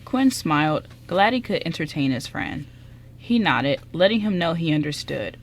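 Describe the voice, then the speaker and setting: A woman's voice: deep voice, expressive, moderate pace, a little hiss or hum, rough recording. Woman narrating a radio drama script.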